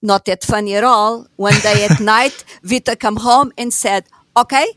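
A woman from Portugal with a Portuguese accent, a lively storyteller telling her story as a podcast guest. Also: mid-range voice, moderate pace, dry recording. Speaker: guest, store operator. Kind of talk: podcast interview.

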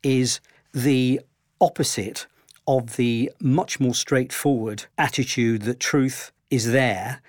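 A man speaking as a historian guest, giving measured, explanatory answers in an interview. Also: mid-range voice, no room sound, clean studio sound.

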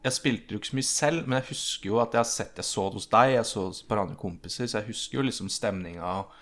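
A man's voice: lowish voice, fairly bright tone. Casual, jokey man co-hosting a podcast. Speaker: podcast co-host. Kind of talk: casual chat.

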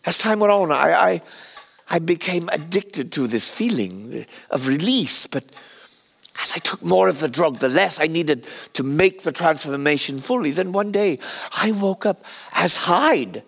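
A man plays a dying Victorian scientist racked with guilt. His voice sits fairly high and is full of gravelly creak. He confesses slowly and haltingly, with theatrical anguish, as in a radio drama. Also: animated, fairly bright tone, exaggerated voice. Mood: despairing.

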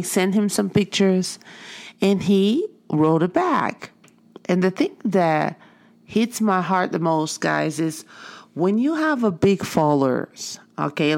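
A woman's voice: on the low side, creaky. A woman with a Portuguese accent, whose first language is Portuguese, telling a personal story in an earnest, heartfelt motivational talk as an artist and art teacher.

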